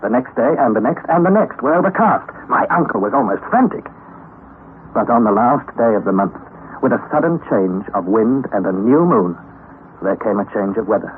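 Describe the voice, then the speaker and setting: An actor playing an earnest young nephew who recounts a perilous expedition in measured, theatrical old radio-drama style. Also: male voice, on the low side, lively intonation, narrow, tinny audio, close to an everyday voice. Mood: grave, suspenseful. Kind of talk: dramatic first-person narration, old-time radio acting. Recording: thin, band-limited vintage radio recording, noisy.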